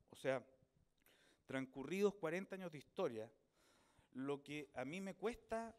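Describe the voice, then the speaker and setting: Man, middle-aged, Chilean accent, smooth resonant voice, thoughtful public talk, unhurried. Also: a little high, a little mellow. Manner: thoughtful, unhurried, searching for words. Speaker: author speaking about his book. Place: book fair event.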